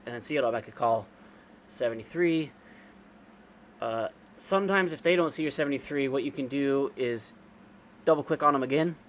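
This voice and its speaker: Regular guy doing a casual step by step screen tutorial, medium pitch, some background noise on the recording. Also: fairly quick, long pauses. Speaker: amateur radio operator giving a software tutorial. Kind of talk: informal screen-share tutorial, unscripted walkthrough.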